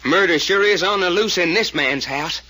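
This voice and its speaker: An actor playing an excitable, folksy detective sidekick with a Southern US accent in an old radio serial. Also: male voice, sits high, moderate pace. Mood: alarmed, wondering. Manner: folksy, exclamatory. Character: excitable folksy young male detective sidekick, warm-hearted drawler. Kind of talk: 1940s radio serial acting.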